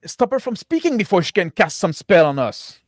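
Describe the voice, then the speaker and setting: A man acts out a young, superstitious member of a witch-hunting party in a tabletop game. He is panicky and stammers, with a lively swinging pitch and a dry sound. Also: fairly quick, no echo. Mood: alarmed, urgent. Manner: excitable, stammering, repetitive.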